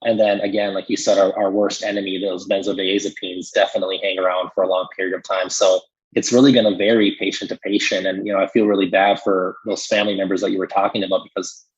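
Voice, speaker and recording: A man explaining medical topics conversationally as an expert, in a measured way with frequent "you know" asides. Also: low voice, breathy edge, dull audio.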